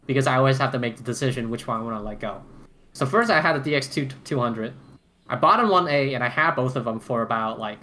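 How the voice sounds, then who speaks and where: A man's voice: medium pitch, pushed and bright. A man co-hosting a casual roundtable podcast about gear, talking emphatically with repeated phrases and casual swearing. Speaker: podcast co-host.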